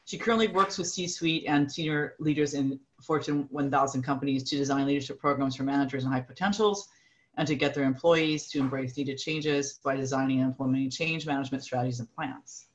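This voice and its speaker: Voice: medium pitch, brisk, muffled recording. A podcast host reading a guest's bio and asking questions in a chatty, hesitant way with many restarts. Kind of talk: podcast interview conversation.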